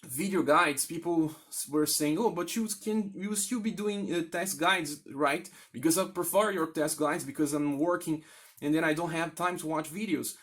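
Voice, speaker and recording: A man from Brazil, a native Brazilian Portuguese speaker with a Brazilian accent, speaking candidly and haltingly as a gaming video creator addressing viewers. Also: a little high, a bit bright. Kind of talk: candid, unscripted appeal to channel viewers.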